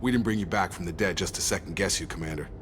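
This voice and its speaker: An actor playing a loyal, no-nonsense soldier in a video game, steady and straightforward. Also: male voice, quick pace. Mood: steady, earnest. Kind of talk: dramatic video game dialogue. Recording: loud vehicle rumble behind voice.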